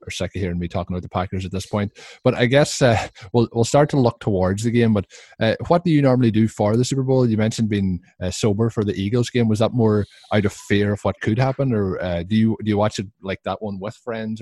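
Low-voiced man hosting a casual sports podcast. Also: a little mellow, dry recording, clean studio sound. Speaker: podcast host.

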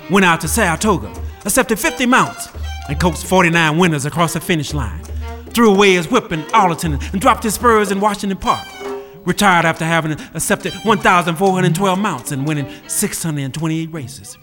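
A man's voice: high voice, expressive, brisk, fairly bright tone. A male storyteller narrating with a rhythmic, building cadence. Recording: reverberant space with music behind.

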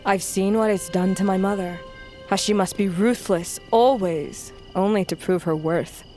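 A woman's voice: medium pitch, darkish tone, faint music behind, natural voice. Woman acting a composed, wry young huntress. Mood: earnest, gentle. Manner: measured, wry, formal archaic phrasing. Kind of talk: dramatic fantasy audio drama acting.

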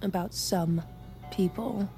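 A woman's voice: mid-range voice, slow, vocal fry, warm tone, faint music behind. An actress playing a stubborn, intense young female army private in a naturalistic audio drama. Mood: guarded, defiant. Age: young adult.

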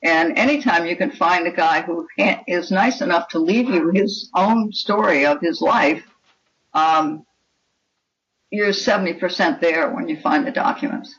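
A woman's voice: on the low side, unhurried, fairly bright tone. A dull, lo-fi recording carries a woman author giving an interview. She talks reflectively about her craft, with an emphatic and opinionated manner. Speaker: guest author. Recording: dull lo-fi call sound.

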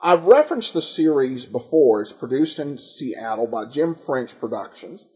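A man hosting a podcast, giving casual commentary in a halting way, often searching for words and correcting himself. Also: mid-range voice, sing-song, a little mellow. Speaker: podcast host. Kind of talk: casual commentary on old radio detective shows.

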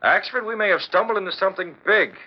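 Decisive, higher-voiced newspaper publisher. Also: male voice, quick pace. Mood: keen, pondering. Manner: crisp, commanding. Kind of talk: 1940s radio acting. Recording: dull old radio broadcast recording.

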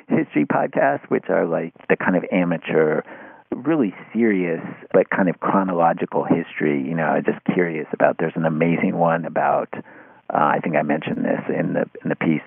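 A man's voice: sits low, creaky, slightly rough, no echo. A man answering interview questions conversationally, hesitant and repeating words as he thinks aloud, over a thin, phone-like line. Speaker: interview guest, writer.

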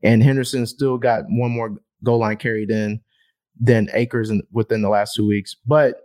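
A man's voice: on the low side, level tone, normal speed, darkish tone. A man co-hosting a fantasy football podcast in casual chat, reeling off numbers and repeating words as he thinks. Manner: stat-heavy, repeats words while thinking.

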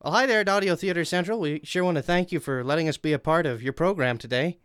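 A man's voice: a little high, fast talker, a bit bright, smooth, dry recording, studio quality. A young man, a guest writer, recounting his work in a casual, informal way.

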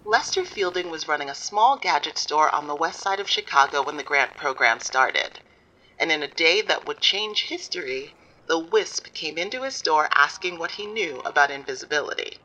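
A woman's voice: deep voice, lively intonation, normal speed, sharp tone, full character voice, poor audio. A woman playing a wry author in a radio documentary interview, telling the story in a dry, matter-of-fact way. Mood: wry, explanatory. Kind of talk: documentary interview in audio fiction.

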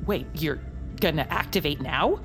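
A woman playing an anxious, hesitant archivist in an audio drama, flustered and halting. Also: low-pitched, animated, music in the background. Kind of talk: audio fiction acting.

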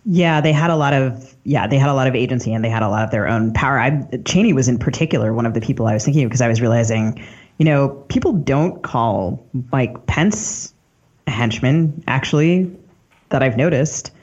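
A man's voice: medium pitch, fairly quick, darkish tone, husky. Reflective man on slightly dull audio. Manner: reflective, thinking aloud. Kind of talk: casual discussion and questioning.

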